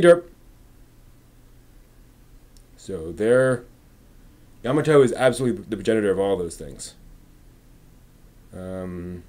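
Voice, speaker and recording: A man's voice: fairly low pitch, mellow. A man hosting a casual live stream, rambling and often muttering to himself as he works.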